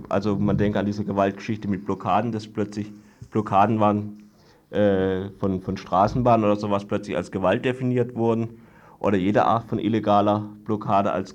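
Guy with a lowish voice doing an off the cuff radio report, halting, kinda long-winded explaining. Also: a little mellow. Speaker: guest reporting on a court trial. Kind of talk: unscripted spoken radio report.

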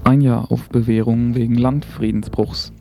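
A man's voice: medium pitch, flat delivery, moderate pace, vocal fry, over music. A man reading scripted news commentary as a community radio presenter in a studio. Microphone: single shared studio microphone.

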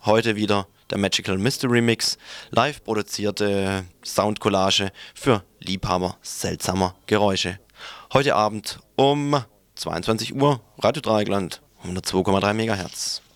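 Man on radio, low dry voice. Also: a little slow, dry recording. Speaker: radio presenter. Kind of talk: live radio programme tips.

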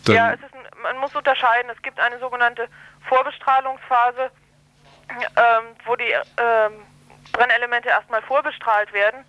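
A woman giving an unscripted explanation as a spokesperson, halting and correcting herself, recorded over a telephone line. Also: on the high side, little pitch movement, no echo. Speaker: environmental campaign spokesperson being interviewed. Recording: dull, band-limited telephone line.

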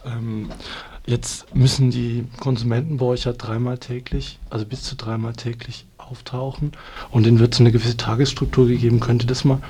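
A man interviewer putting informal questions, with a husky voice. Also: medium pitch, little pitch movement, moderate pace, mellow.

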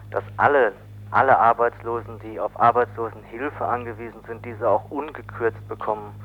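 A man answering interview questions as an activist spokesman, explaining haltingly and repeating words as he goes. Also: lowish voice. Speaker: spokesman for an unemployed people's initiative. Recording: dull, faintly hissy old radio interview.

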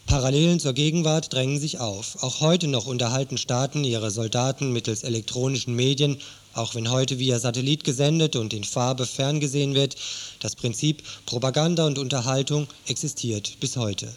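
A man's voice: mid-range voice, moderate pace. A man narrating a scripted radio news feature in an earnest, critical tone.

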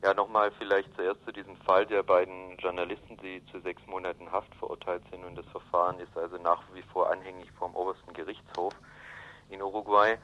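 A man giving a halting, explanatory answer in a radio interview. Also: low voice, little pitch movement. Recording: dull lo-fi radio recording.

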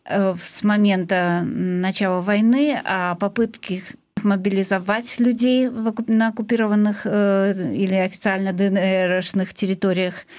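A woman's voice: medium pitch, steady tone, moderate pace, darkish tone. A woman hosting a community radio programme from a studio, introducing its segments. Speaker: radio host.

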